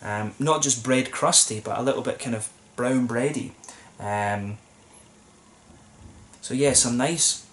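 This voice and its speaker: A man's voice: medium pitch, slow pace, fairly bright tone, a little hiss or hum. Rambling young man reviewing at home. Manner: thoughtful, rambling, with many ums and self-corrections. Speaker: beer reviewer. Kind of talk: casual solo review to camera. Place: small plain room indoors, seated at a table.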